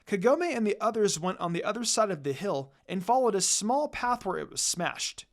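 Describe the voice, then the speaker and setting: Brief: female amateur storyteller for fan fiction narration, mid-pitched, very varied intonation. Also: sing-song, moderate pace.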